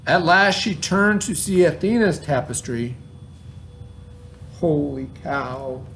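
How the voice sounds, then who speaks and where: A man's voice: fairly high pitch, expressive, slow pace, a bit bright, a little hiss or hum. A man teaching children, reading a story aloud and explaining it informally, with questions to his pupils. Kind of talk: children's read-aloud and lesson.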